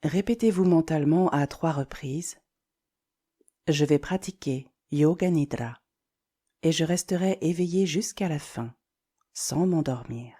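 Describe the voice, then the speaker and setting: A woman leading a guided yoga nidra relaxation, giving slow, calm, soothing instructions with deliberate pauses. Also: sits low, husky.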